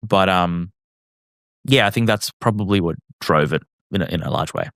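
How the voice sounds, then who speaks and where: A man's voice: deep voice, moderate pace, vocal fry, a bit bright, clean tone, no room sound, studio quality. An Australian man talking as a podcast guest, reflective and casual, with many fillers and restarts. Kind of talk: casual interview chat.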